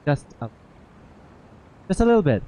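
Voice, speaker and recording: Higher-voiced man heckling as he plays. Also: very animated, moderate pace, warm tone, a little hiss or hum, poor audio. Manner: playful heckling, slangy. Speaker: game streamer. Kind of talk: casual gameplay reaction commentary.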